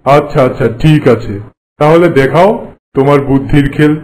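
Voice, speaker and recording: A man playing a boastful, haughty king in an animated folk tale, speaking in a pompous, commanding way. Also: a little high, normal speed, slight background noise, natural voice, low-quality recording. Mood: imperious. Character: boastful, haughty king who prides himself on trickery.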